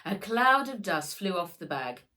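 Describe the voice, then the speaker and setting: A woman reads a children's story aloud as its author. Her voice sits a little low and moves with very lively intonation. She takes her time, leaning on words with dramatic emphasis. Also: unhurried. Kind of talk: expressive children's storybook narration.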